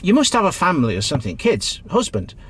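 An actor as a kindly British cabbie, lively intonation. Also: male voice, fairly high pitch, fast talker, breathy edge, exaggerated voice. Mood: sympathetic. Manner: hesitant, reassuring, plain-spoken. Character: kindly, encouraging male taxi driver. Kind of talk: radio play acting.

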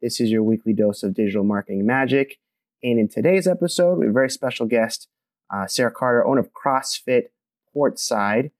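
A man's voice: medium pitch, animated, a little fast, no echo. A man hosting a marketing podcast, welcoming listeners and interviewing a guest in an upbeat manner. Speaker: podcast host.

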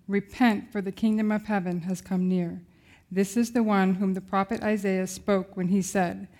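A woman reading scripture aloud at a measured pace. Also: medium pitch, darkish tone. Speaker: scripture reader.

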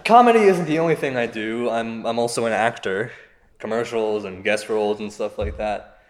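A man's voice: fairly low pitch, normal speed, a little hiss or hum, close to an everyday voice. A man playing a self-deprecating stand-up comedian, conversational and wry as he delivers a routine. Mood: wry, amused. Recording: echoey venue reverb, faint music.

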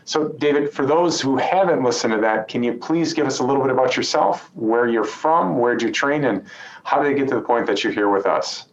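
A man's voice: mid-range voice, a little hiss or hum. Middle-aged male podcast host, roomy sound. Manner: friendly, conversational, uses "you know" and quick asides. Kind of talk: hosting a medical podcast interview. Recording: roomy, slightly dull audio with faint ambience.

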